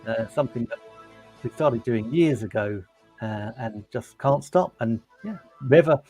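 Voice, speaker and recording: A man's voice: medium pitch, animated, normal speed, a little mellow, soft background music. A man, a digital marketer guesting on a live stream, upbeat and friendly.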